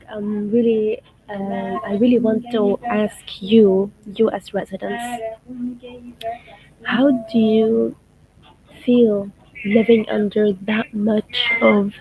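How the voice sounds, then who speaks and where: A woman's voice: a little high, mellow, poor audio. A young woman from Gaza whose first language is Arabic, chatting casually on a livestream and reading follower comments aloud. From Gaza, Palestine. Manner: thoughtful, unhurried, reads comments aloud. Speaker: livestream host answering followers.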